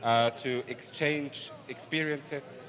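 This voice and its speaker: A man who grew up in Switzerland, a police officer, answering interview questions in a calm, plain way. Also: mid-range voice, takes it slowly, big-room sound. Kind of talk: interview answers, conference delegate.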